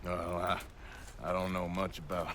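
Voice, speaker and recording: An actor playing a weary, plain-spoken, wry American outlaw gunslinger in a video game, with a laconic, drawling, folksy manner. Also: male voice, deep voice, level tone, unhurried, a bit bright, slight background noise. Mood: gentle, instructive. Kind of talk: cinematic video game acting.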